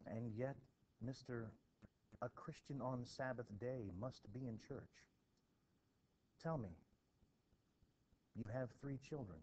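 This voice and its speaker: A man voicing an earnest, formal minister from colonial times, measured and questioning, in a play reading. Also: mid-range voice, a little mellow, close to an everyday voice. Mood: probing. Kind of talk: expressive classroom play reading. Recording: dull, noisy home recording.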